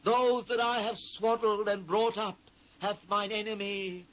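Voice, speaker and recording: An anguished British prophet lamenting in a dramatised scripture reading. The voice is high for a man and moves slowly through solemn, theatrical, declamatory phrases. It sounds like an old cassette transfer with faint noise. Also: little pitch movement, fairly bright tone, muffled recording. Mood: impassioned grief. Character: anguished biblical prophet lamenting a fallen city.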